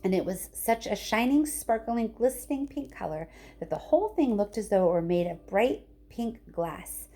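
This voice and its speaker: A woman reading a children's storybook aloud with expressive narration. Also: on the high side, moderate pace, few pauses. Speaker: teacher reading a book aloud.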